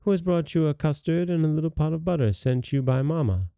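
A man's voice: on the high side, lively intonation, a little fast, vocal fry, clear, resonant tone, dry recording, clean studio sound, pitched above the performer's own voice. Sly, coaxing storybook wolf, as a man reads him. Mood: falsely sweet. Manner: coaxing, feigned gentleness. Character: sly hungry male wolf, falsely sweet.

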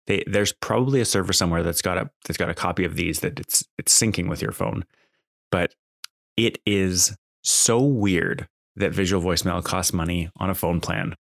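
A man's voice: deep voice, moderate pace, vocal fry, no room sound, clean studio sound. A man talking casually off the cuff as a podcast host, in a conversational, exasperated, complaining way. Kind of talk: casual unscripted monologue.

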